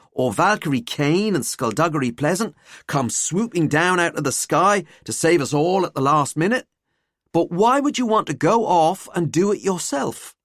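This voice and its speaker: A woman's voice: fairly low pitch, no echo, studio quality, pitched above the performer's own voice. A woman voicing a lazy, sardonic teenage schoolboy in an audiobook, flippant and emphatic.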